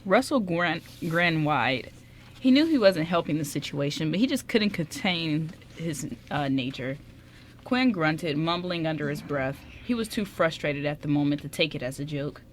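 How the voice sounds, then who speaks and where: A low-pitched female narrator reads a radio play script. She stumbles now and then, and there is faint noise on the amateur recording. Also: lively intonation, normal speed. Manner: stumbles while reading.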